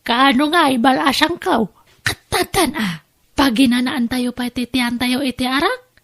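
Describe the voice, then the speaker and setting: A woman plays a money-minded bad influence in an audio drama. Her voice is fairly high and very slow, coaxing and bossy as she pushes a friend along. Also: fairly bright tone, exaggerated voice. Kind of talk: Ilocano radio-style family drama.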